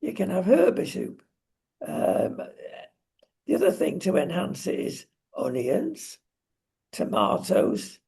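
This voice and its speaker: A man with a husky, gravelly voice co-hosting a talk show, giving opinions and advice in emphatic, deliberate phrases. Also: sits high, huge pitch swings, mellow. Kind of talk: opinionated casual discussion.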